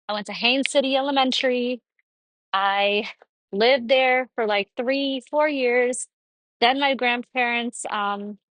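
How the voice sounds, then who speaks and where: A woman's voice: a little high, fairly bright tone, no echo, low-quality recording. A young woman from Florida hosting her own podcast, talking casually about her life in a rambling, conversational way with lots of fillers. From Florida, United States. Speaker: podcast host. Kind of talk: casual personal monologue.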